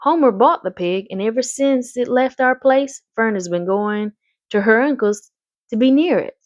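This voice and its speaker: A woman's voice: fairly high pitch, moderate pace, no echo, lo-fi sound, voice pushed up, lightly acted. A woman voicing a fretful, proper mother in a storybook, with an anxious, earnest and rather vague manner. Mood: worried.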